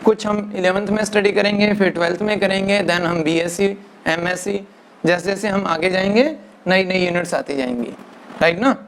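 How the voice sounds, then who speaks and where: A man's voice: sits high, fairly even intonation, fairly bright tone. Male teacher who keeps asking "right na". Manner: mixes English into Hindi, keeps asking "right na"; dictates definitions slowly, word by word. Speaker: physics teacher. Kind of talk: classroom lecture.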